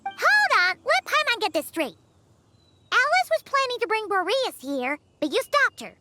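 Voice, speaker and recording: A woman voicing a tiny, chirpy, excitable floating fairy companion in a video game. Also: very high voice, normal speed, loud music behind the voice. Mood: puzzled. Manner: speaks of self in third person, exclamatory.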